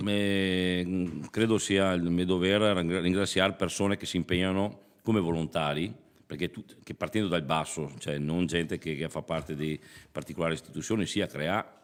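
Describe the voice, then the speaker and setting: A man with a Veneto accent speaking off the cuff as a councillor, halting and correcting himself in plain words. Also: sits low. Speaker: regional councillor speaking at a press conference. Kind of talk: informal impromptu speech. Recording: reverberant hall.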